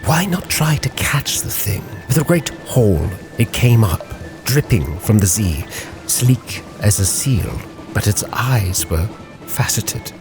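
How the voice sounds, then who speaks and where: A man playing a grave, driven Victorian sea captain, solemnly narrating his log with theatrical, weighty pauses over music. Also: lowish voice, big pitch swings, heavily acted, poor audio. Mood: grave, suspenseful. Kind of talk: dramatic scripted storytelling, ship's log in character.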